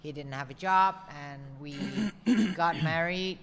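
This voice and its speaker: A woman joining her husband on camera, talking in a halting, friendly way, often searching for words. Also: low-pitched. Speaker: host's wife. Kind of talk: casual chat. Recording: long reverb, faint ambient noise.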